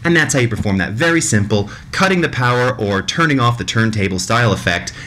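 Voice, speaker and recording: A man in his thirties narrating a software tutorial in a conversational, demonstrative way, recorded indoors in a small room. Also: mid-range voice, quick pace, slight background noise. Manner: animated, demonstrative, mimics sounds vocally.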